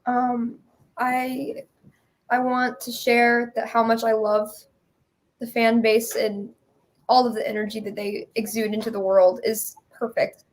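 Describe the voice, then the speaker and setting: A woman's voice: fairly high pitch, flat delivery, a little slow. A woman chatting casually and earnestly as an interview guest. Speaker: musical creator, interview guest. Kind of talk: casual chat.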